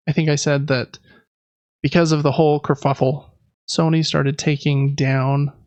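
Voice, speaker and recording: Gravelly man chatting, higher-pitched voice. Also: no room sound, lo-fi sound. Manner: informal, rambling, self-correcting. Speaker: podcast co-host. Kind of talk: casual gaming news chat.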